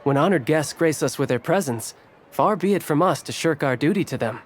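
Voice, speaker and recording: A man's voice: on the high side, animated, a little fast, slight background noise, lightly acted. A man playing a polite, studious young nobleman in a video game, speaking in a courtly, formal and articulate way. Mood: courteous.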